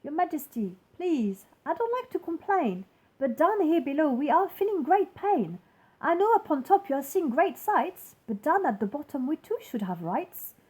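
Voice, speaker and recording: A woman acts out a small, put-upon storybook turtle lad. The voice is high and rises plaintively as it pleads. The manner stays humble and polite, groaning under a heavy burden. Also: sing-song, moderate pace, a little mellow, poor audio. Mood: pained, pleading. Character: plain, humble, long-suffering little male turtle.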